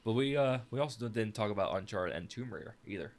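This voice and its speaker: A man's voice: on the low side, lively intonation, fast talker, a little mellow. A man hosting a casual group chat, posing questions and sharing his views. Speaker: podcast host posing questions.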